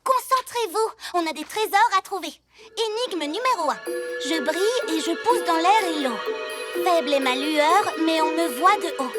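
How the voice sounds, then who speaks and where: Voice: very high voice, animated, normal speed, a bit bright, soft background music. A performer voicing an eager, confident, slightly boastful little girl superhero in a cartoon dub. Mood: excited, proud. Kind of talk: animated cartoon dubbing.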